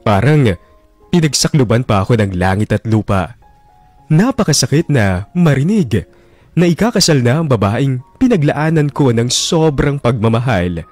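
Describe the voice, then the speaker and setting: A man's voice: mid-range voice, sing-song, moderate pace, darkish tone, dry recording, soft background music. An actor narrating as a heartbroken young man, brooding and wounded over a lost love, in a radio drama. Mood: bitter. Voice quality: brooding, wounded inner monologue.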